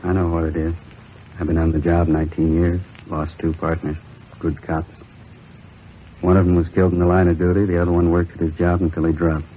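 An actor playing a weary, middle-aged veteran police captain in an old radio drama, terse and subdued but sympathetic. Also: male voice, deep voice, little pitch movement, brisk, lightly acted. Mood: sympathetic, resigned. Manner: terse, subdued, clipped sentences. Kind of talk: 1950s radio acting. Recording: tinny, hissy old radio recording.

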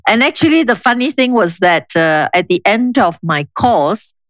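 An older woman with a Singaporean accent, speaking in a measured, reflective, explanatory way as an interview guest. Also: medium pitch, big pitch swings, fairly bright tone, dry recording. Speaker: interview guest, urban planning official. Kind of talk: conversational interview, reminiscing.

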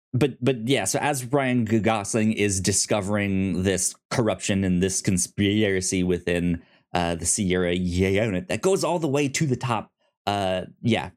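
A man co-hosting a film review podcast, chatting casually with a halting, stammering delivery. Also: low voice. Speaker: podcast co-host reviewing films. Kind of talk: casual movie review chat.

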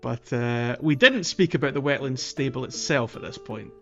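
A man's voice: medium pitch, moderate pace, creaky, pushed and bright, highs cut off, faint music behind. A man co-hosting a casual video game podcast, rambling along and chuckling through his anecdotes. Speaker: podcast co-host.

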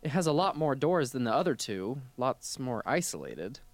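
A man's voice: medium pitch, very animated, moderate pace, creaky, no echo. A man playing an eager, nervous young scientist in an audio drama, polite, hesitant and awestruck. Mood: awed, curious.

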